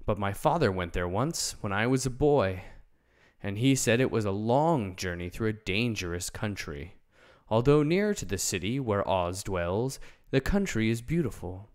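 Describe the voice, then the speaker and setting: A man voicing a tin man in a storybook reading, steady and reassuring. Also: lowish voice, normal speed, lightly acted. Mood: calm.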